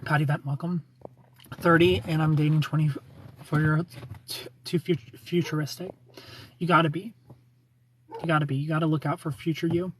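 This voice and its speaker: A man's voice: a little high, level tone, moderate pace, pauses often, a bit bright, a little hiss or hum, low-quality recording. A man in his thirties hosting a casual livestream, reading viewer comments aloud, joking about himself and laughing often. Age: 30s. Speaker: livestream host.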